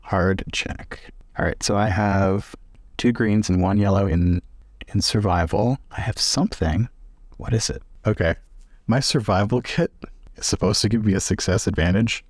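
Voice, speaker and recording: Deep-voiced man playing a tabletop game. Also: normal speed, a little mellow, no echo, studio quality. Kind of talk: casual game chat and narration.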